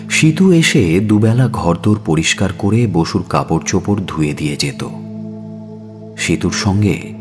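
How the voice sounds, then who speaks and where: A man with a very low voice narrates a dramatic story. He is solemn and expressive, taking his time over each phrase, with music clearly behind him.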